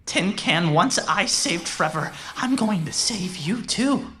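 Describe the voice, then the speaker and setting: A possessive, bodiless mind in a cyberpunk radio drama. She broods and taunts at an unhurried pace, her pitch moving widely. Some noise sits behind the voice. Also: expressive, pushed and bright, heavily acted. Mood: taunting, fervent. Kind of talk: cyberpunk audio drama acting.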